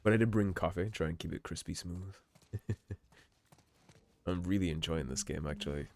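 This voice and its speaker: Guy chatting through a game, bit hoarse, really low voice, laid back. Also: moderate pace, slight background noise. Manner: relaxed, musing, reads in-game notes aloud. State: slightly hoarse. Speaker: game streamer. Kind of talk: let's play commentary.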